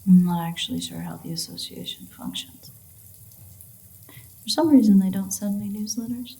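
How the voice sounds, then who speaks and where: A woman's voice: medium pitch, moderate pace, creaky, mellow, close to an everyday voice, poor audio. A woman playing a sardonic, free-spirited, flirtatious female vampire in an intimate audio roleplay, teasing and swearing as she goes. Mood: sarcastic, teasing.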